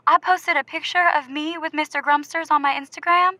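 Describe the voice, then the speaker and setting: A woman's voice: sits high, fairly even intonation, a little fast, bright, forceful tone, no room sound. A woman playing an earnest, gushing, lovelorn caller on a comedy advice show, hesitant and emphatic in how she talks. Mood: wistful, emphatic. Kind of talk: improvised comedy call-in.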